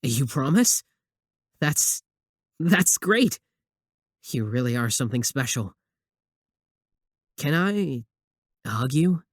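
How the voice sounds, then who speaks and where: A man's voice: mid-range voice, very animated, slow pace, pauses often, no echo, studio quality. A young man acting a possessive, obsessive student admirer in a scripted ASMR roleplay, sweet yet menacing. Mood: hopeful, eager.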